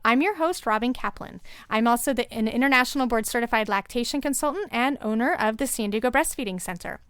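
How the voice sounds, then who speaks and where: A woman with a fairly high voice hosts a podcast in a dry studio sound. Her delivery is upbeat and welcoming, with the ease of scripted show hosting. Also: fast, a bit bright, smooth, no echo. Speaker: podcast host.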